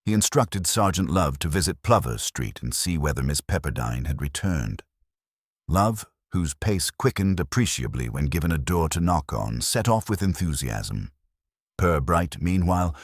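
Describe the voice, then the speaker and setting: Extremely deep, husky male story narrator. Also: sing-song, normal speed, dry recording, clean studio sound. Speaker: audiobook narrator. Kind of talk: scripted detective story narration.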